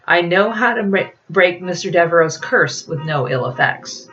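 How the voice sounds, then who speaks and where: Firm, prim, secretive woman, low-voiced reader's acting. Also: expressive, moderate pace, fairly bright tone, muffled recording, slight background noise. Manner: firm, clipped, formal.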